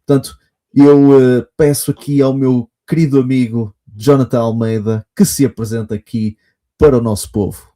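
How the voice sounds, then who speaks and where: A European Portuguese man hosting a podcast in an eager, effusive manner. His phrasing often stalls and restarts. His voice is very dark and mellow, at a middling pitch. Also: fairly even intonation, normal speed. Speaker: podcast host. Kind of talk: podcast hosting, casual chat.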